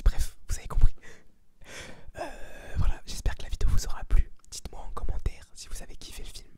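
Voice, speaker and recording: An eighteen-year-old man whispering a casual, opinionated ASMR review in slangy, crude, informal language. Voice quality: breathy unvoiced whisper. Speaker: ASMR video creator giving a film review.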